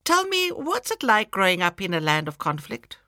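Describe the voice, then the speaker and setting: A woman's voice: mid-range voice, wildly swooping pitch, normal speed, bright, forceful tone, no echo. Dry-sounding woman podcast host. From Cyprus. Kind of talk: podcast interview.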